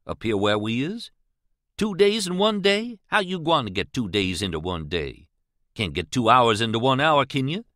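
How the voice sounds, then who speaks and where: A man's voice: mid-range voice, fairly bright tone, no room sound, clean studio sound, voice pushed up. A man voicing an earnest, pious older male riverman with a drawl, pleading and questioning.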